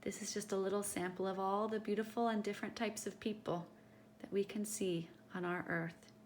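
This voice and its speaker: A woman reading a picture book aloud to children in an expressive, teacherly way, speaking directly to her young listeners. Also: medium pitch, fairly quick, a little hiss or hum.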